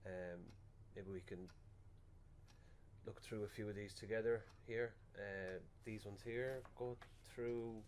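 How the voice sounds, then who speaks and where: An actor playing an awkward funeral director in a radio play, hesitant and bumbling, repeating himself. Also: male voice, lowish voice, steady tone, slow, a little mellow, a little hiss or hum. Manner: hesitant, bumbling, trailing repetitions. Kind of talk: naturalistic radio play acting.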